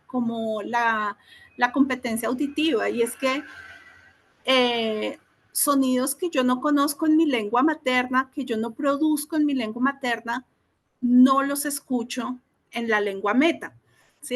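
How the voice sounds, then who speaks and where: A woman speaks in a fairly high voice, taking her time over each idea. She explains as a teacher trainer on a video call, thinking aloud and often checking in with her listeners. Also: a bit bright. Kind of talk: online teacher-training talk.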